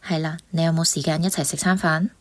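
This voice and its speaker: An actress playing a sincere, emotionally wounded young lecturer in a Cantonese radio drama, with a Hong Kong accent. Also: female voice, low voice, little pitch movement, fast talker, dry recording, lightly acted. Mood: polite, tentative.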